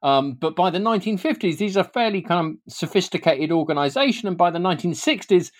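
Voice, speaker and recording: British man explaining, halting and informal. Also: high-pitched, animated. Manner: hesitant, often repeating words mid-sentence. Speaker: guest author and historian. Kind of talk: informal explanatory interview talk.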